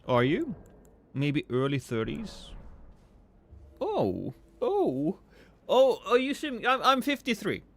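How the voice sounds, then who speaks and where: A man's voice: takes it slowly, pauses often. A man in his thirties chatting casually and profanely with viewers on a live stream, rambling and joking at his own expense. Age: 30s. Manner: rambling, self-mocking, profane; swings between loud excited bursts and low mumbles.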